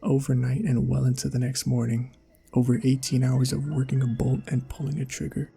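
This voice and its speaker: A man reading a scripted first-person horror story in an ASMR style, with a dramatic, suspenseful delivery and a husky, gravelly voice. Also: medium pitch, flat delivery, brisk, mellow. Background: background music.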